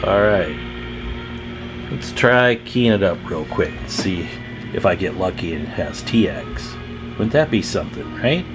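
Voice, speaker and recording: A man's voice: low-pitched, lively intonation, measured pace, over music, poor audio. A man talking informally and thinking aloud as he gives a casual hands-on demonstration as a radio repair hobbyist.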